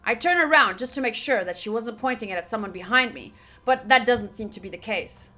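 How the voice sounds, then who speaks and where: A woman streaming a visual novel playthrough, reading the text aloud with playful, expressive, casual reactions and some swearing. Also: fairly high pitch, a little fast, faint music behind. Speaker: game streamer.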